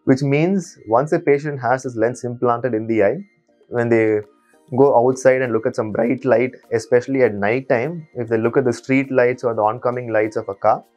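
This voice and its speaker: A man in his thirties, an eye surgeon, calmly and methodically explaining to patients from a small clinic office on a lapel mic. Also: medium pitch. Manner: calm, methodical, steady explainer. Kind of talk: explanatory patient education talk.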